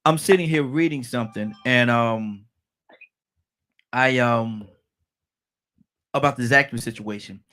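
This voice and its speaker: A male radio host who talks in a halting, amused way, with plenty of fillers. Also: mid-range voice, fairly bright tone. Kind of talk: casual talk radio chat.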